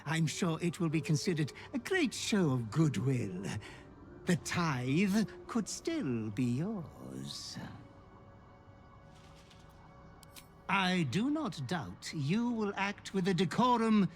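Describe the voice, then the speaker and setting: An actor voicing a sly, servile fiendish butler in a video game, gleefully murderous and theatrically obsequious, savoring every phrase. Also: male voice, on the high side, huge pitch swings, takes it slowly, faint music behind, heavily acted. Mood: fawning, menacing. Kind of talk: video game character acting.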